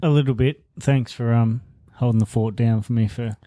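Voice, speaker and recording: A man's voice: medium pitch, fairly even intonation, moderate pace, warm tone, no echo. Casual male podcast co-host, dry sound. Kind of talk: casual sports chat.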